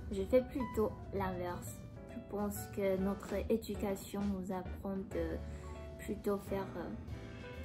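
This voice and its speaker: A young woman from Taiwan, speaking French as an in a casual, hesitant chat while answering a quiz. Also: mid-range voice. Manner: soft-spoken, hesitant, pauses to find words. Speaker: vlogger. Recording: background music under the voice.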